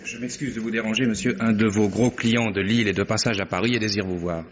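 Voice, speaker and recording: Guy as a polite eager clerk, talks fast, old radio drama, muffled and very echoey. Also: lowish voice, fairly even intonation, slight background noise, close to an everyday voice. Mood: deferential. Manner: polite, brisk. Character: deferential, earnest male bank clerk. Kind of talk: old French radio mystery drama acting. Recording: muffled radio recording with long reverb.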